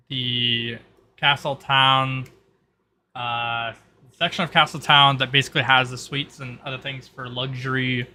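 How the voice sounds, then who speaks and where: A male game master with a sharp, forceful voice narrates a tabletop roleplay. He takes his time, measured and terse, over some background noise. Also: mid-range voice.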